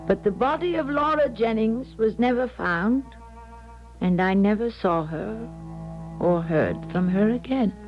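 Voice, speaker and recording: An actress playing a grave, haunted older female clairvoyant, narrating a 1970s radio drama in a measured, solemn way. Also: a little high, expressive. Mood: grave, resigned. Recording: dull 1970s radio broadcast, music behind.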